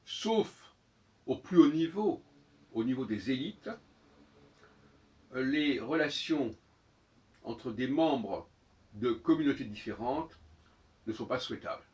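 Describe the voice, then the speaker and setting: A man gives an unscripted expository talk in a halting, didactic manner, often rephrasing and repeating key words. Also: medium pitch. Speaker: speaker giving an opinion talk. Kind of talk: extemporaneous expository monologue. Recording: voice distant from the microphone.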